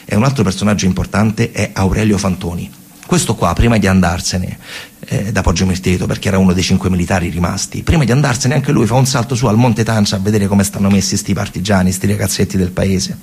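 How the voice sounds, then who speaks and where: Male stage narrator, fairly low and raspy, colloquial, emphatic storytelling in short repeated phrases. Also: fast, darkish tone. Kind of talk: theatrical narrative monologue.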